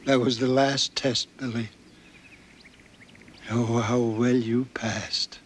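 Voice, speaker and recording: An actor playing a gentle, mysterious mentor in a TV drama, addressing his pupil knowingly. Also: medium pitch, flat delivery, slow, lightly acted. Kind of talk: TV drama acting. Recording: faint noise, old TV soundtrack.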